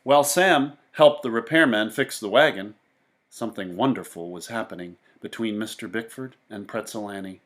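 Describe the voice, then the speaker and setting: A man reading a children's story aloud in a theatrical, expressive way. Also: fairly low pitch, normal speed. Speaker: storybook reader. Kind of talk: animated children's story narration.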